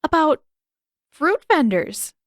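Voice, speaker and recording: A woman's voice: sits high, sing-song, slow pace, no echo, studio quality, voice pushed up, heavily acted. A woman voicing a sarcastic, exasperated teenage boy in an audiobook story, with emphatic delivery. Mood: nervous bluffing. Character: sarcastic teenage boy, exasperated, nervous big-brother type.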